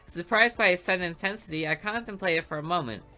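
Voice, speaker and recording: A woman's voice: fairly low pitch, quick pace, sharp tone, soft background music. A woman reading a visual novel aloud for a let's play, with playful asides between the lines.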